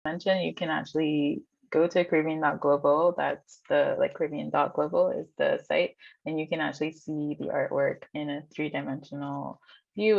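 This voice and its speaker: A woman's voice: low voice, creaky, darkish tone. A woman curator hosting an online artist talk, introducing the artists in a friendly way with plenty of ums. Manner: friendly, filler-heavy, with frequent ums. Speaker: curator and host. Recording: dry, slightly dull video-call audio.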